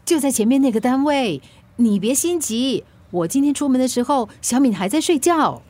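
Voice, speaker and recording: High-voiced scatterbrained Singaporean woman. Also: animated, moderate pace, a little mellow. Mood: defensive. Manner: cutesy, coaxing, colloquial. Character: forgetful, playful female food-delivery rider. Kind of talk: Chinese-language radio drama acting.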